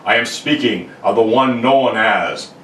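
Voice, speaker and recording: A man's voice: mid-range voice, a little slow, a bit bright, natural voice. A man playing a solemn army general with grave orders in an old-time radio drama. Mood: stern, solemn. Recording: roomy, distant mic with faint noise.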